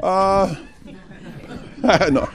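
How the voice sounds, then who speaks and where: A man on a panel telling how he got into acting, modest and halting, with small chuckles. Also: high-pitched, a bit bright. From United States. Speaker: actor on a panel.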